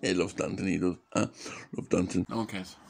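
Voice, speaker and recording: A man's voice: low voice, fairly even intonation, brisk, gravelly, background noise. Mumbling young man with a worn voice. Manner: mumbling, jokey, narrating himself in third person. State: losing his voice. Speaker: vlogger. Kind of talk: casual rambling self-commentary. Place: small dim living room at home. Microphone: webcam or phone camera at close range.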